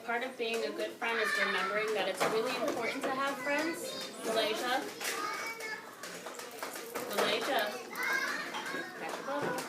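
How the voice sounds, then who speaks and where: A woman's voice: fairly high pitch, a little slow, long pauses, over a noisy crowd. A woman working as a preschool teacher, talking to young children in simple, instructive phrases with repetition. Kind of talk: reading a picture book aloud and questioning young children. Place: classroom, indoors. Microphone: phone camera at a distance. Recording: roomy, distant phone video, loud background noise.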